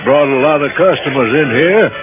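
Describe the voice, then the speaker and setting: A man playing a simple, folksy pool hall owner in a 1950s radio drama, halting and humbly polite, repeating himself. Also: a little high, close to an everyday voice. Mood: humble, earnest. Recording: muffled old radio, loud music behind.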